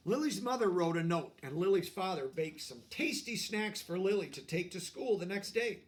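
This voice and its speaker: A man reading a storybook aloud to young children, expressive, with simple explanatory asides. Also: high voice, moderate pace. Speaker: storybook reader.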